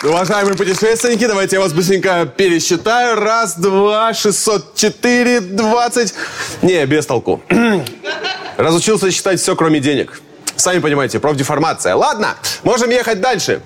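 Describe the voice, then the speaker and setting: A man's voice: sits high, lively intonation, normal speed. A man playing a shady, jokey tour guide who counts tourists wrong and jokes about money. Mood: chirpy. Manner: chirpy patter, shouting to the driver.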